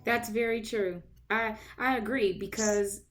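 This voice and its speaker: A woman's voice: a little high, fairly even intonation, measured pace, a bit bright, a little hiss or hum. Friendly woman host from Indiana. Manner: friendly, agreeable, laughs often. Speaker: podcast host. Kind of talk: casual chat.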